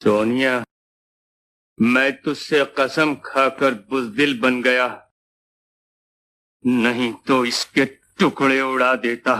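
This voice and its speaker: A slow, forceful village tough. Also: male voice, mid-range voice, steady tone. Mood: brooding threat. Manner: commanding, threatening, deliberate. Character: menacing village tough man sworn to cowardice. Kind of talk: 1950s Hindi film acting. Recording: noisy old film soundtrack.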